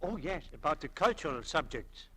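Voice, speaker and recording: A man's voice: on the high side, very animated, moderate pace, bright, forceful tone, heavily acted. A man playing a friendly, nervous family-man store clerk in a 1940s radio drama. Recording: faintly noisy old 1940s radio recording.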